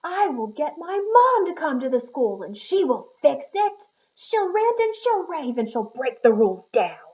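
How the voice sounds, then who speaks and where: A woman yelling as a furious little lightning bug boy. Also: high-pitched, sing-song, measured pace, muffled recording, voice pushed up. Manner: yelling threats, drawn out.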